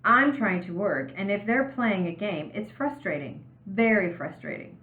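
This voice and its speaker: A woman's voice: mid-range voice, expressive, moderate pace, natural voice. Exasperated female teacher, played by a woman reader, emphatic and complaining, in a roomy, dull storybook recording. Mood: annoyed.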